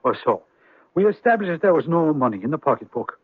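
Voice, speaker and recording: Teasing police sergeant, swooping pitch. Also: male voice, sing-song, fast, breathy edge, no echo. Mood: probing, puzzled. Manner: mock-official, wry, questioning. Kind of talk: old-time radio drama acting. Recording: dry vintage radio recording, muffled.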